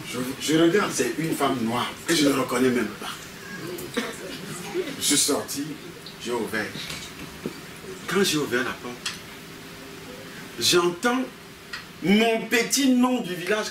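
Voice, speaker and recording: A man with a Cameroonian French accent preaching with personal stories, repeating his phrases and prompting the congregation. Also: a little high. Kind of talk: animated sermon with personal anecdotes. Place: church meeting hall with a congregation. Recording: echoey hall, distant mic, congregation noise.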